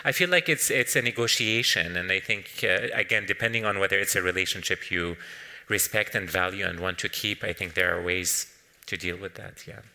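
A man's voice: deep voice, brisk, creaky, pushed and bright. A man, an author whose first language is Arabic, answering audience questions at a book talk in a thoughtful way, often restarting and repeating words. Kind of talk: panel discussion answering questions.

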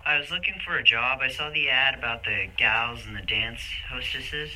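A man making a prank phone call, keeping a deadpan tone through absurd claims. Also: mid-range voice, moderate pace. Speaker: prank caller.

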